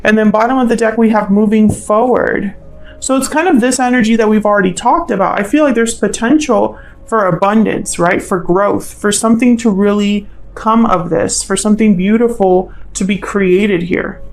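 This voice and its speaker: Lady doing a casual tarot reading, medium pitch, lots of fry, kinda thinking out loud. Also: normal speed, faint music behind. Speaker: tarot reader, channel host. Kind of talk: informal spoken tarot reading.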